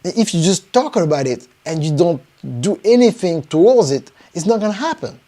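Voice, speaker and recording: A man with a French accent, a native French speaker, giving a live motivational pitch to viewers as a business coach, emphatic and repetitive, with frequent rising bursts. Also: lively intonation, moderate pace, mellow. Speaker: business coach promoting his event.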